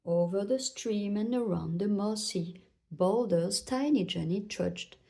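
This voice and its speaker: A woman reading a children's story aloud in an expressive narrating style. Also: medium pitch, a little slow, creaky. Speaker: children's book reader.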